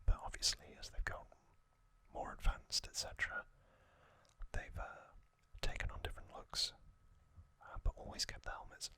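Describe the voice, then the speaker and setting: A man whispering as an ASMR host, recounting trivia in a soft, rambling way with frequent pauses and ums. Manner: rambling, personal recollections.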